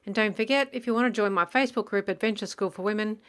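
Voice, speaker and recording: A woman's voice: mid-range voice, quick pace, a bit bright, clear, resonant tone. A woman with an Australian accent talking casually and encouragingly, in plain words, as a podcast host in an interview. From Gold Coast, Queensland, Australia.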